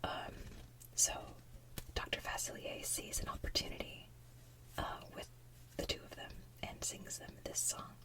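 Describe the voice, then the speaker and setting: A woman hosting an ASMR video, speaking in a soft, breathy, unhurried whisper. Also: far from the mic, low-quality recording. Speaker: ASMR channel host.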